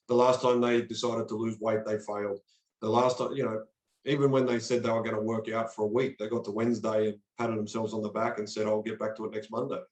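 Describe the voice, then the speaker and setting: A man's voice: on the low side, echoey room, rough recording. A man from Australia, a guest coach talking earnestly and motivationally in a podcast interview, often repeating words as he starts a thought. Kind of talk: conversational interview.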